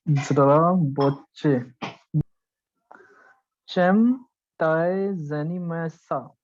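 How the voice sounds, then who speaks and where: A woman's voice: deep voice, drawn-out words, darkish tone, dry recording, dull audio. A student woman carefully repeating phrases after the teacher.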